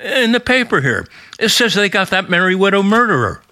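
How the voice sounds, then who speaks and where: A very animated, folksy American father. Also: sits high, a little fast, no echo, clean studio sound, exaggerated voice. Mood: chatty relish. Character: folksy, gossipy small-town American family man. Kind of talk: vintage American radio drama acting.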